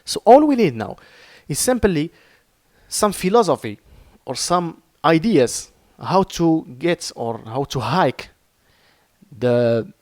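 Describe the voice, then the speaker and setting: A man from Morocco with a Moroccan accent, whose first language is Arabic, giving an earnest, halting lesson on trading with many fillers and restarts over his screen. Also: mid-range voice, darkish tone, no room sound, clean studio sound. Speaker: crypto trading teacher. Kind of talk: informal screen-share lesson.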